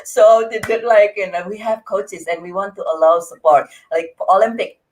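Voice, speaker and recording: A woman in her forties with an Indonesian accent, a guest in an interview, speaking earnestly with frequent laughs and halting restarts. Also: medium pitch, steady tone, darkish tone, slight background noise, rough recording. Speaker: interview guest, grief coach. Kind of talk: conversational interview.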